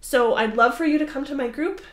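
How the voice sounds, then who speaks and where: A woman's voice: high voice, fairly quick. Rambling young woman in an echoey room. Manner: chatty and rambling, with many fillers and apologetic asides. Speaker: podcast host. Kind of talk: casual chat to camera.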